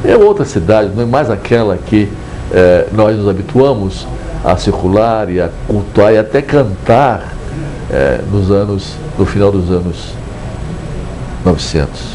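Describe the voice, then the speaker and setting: An older Brazilian man, an author speaking reflectively in a measured, digressive way with hesitant restarts. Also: fairly low pitch, animated, noisy background. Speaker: book author. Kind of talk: reflective interview monologue.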